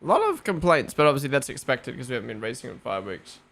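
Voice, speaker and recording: A man's voice: mid-range voice, very animated, fast, creaky, a bit bright. A young man with a New Zealand accent, rambling casually as a live stream host, full of fillers and swearing. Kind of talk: casual live watch-along chat.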